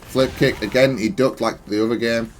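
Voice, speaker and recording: A man's voice: medium pitch, steady tone, moderate pace, faint music behind. A man giving matter-of-fact, analytical commentary on gameplay, thinking aloud in a stop-start way. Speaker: gamer explaining own match replay.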